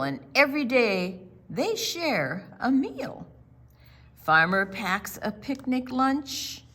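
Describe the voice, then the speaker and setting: A woman co-hosting a children's story time, reading and chatting in a playful, expressive way. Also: fairly high pitch. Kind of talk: storybook read-aloud and chat for young children.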